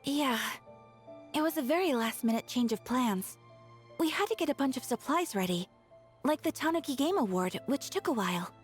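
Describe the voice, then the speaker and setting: A woman's voice: high-pitched, animated, normal speed, soft background music. A woman voicing an earnest, diligent, modest young woman in a video game. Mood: relieved, apologetic. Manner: earnest, self-effacing. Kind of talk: English video game voice acting.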